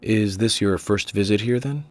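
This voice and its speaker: An actor playing a serious, courteous lawman in a video game cutscene, measured and businesslike. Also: fairly low pitch, no echo, close to an everyday voice. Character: serious, courteous male lawman on a murder case.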